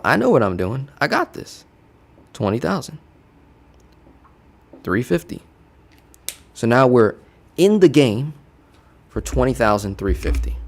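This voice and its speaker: A man casually walking viewers through startup costs as a finance educator, playful and chatty, constantly checking in with "right?". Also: medium pitch. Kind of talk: casual teaching walkthrough. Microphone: studio desk mic.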